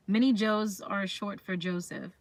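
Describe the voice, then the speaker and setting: A woman's voice: medium pitch, fairly even intonation, takes it slowly, no echo. Chatty woman with a dry, close sound. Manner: chatty, reads comments aloud and puts questions to viewers. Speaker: livestream host chatting with viewers. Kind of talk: casual live chat.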